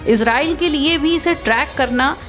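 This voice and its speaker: A woman's voice: high voice, normal speed, fairly bright tone. A woman presenting an explainer narration. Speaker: video presenter. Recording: music bed behind voice.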